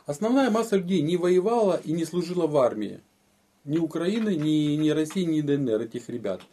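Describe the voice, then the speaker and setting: Mellow, slightly high-voiced man explaining. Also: sing-song, a little fast. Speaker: interviewee, eyewitness. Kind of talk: casual explanatory recollection in an interview.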